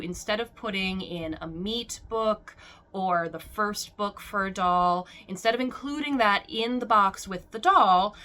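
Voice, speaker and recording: A woman chatting casually as a doll collector vlogger, rambling with restarts, her throat still hoarse and her nose sniffly from being sick. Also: mid-range voice, bright, forceful tone. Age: adult. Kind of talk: casual chat to camera. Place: warm room at home with a ceiling fan.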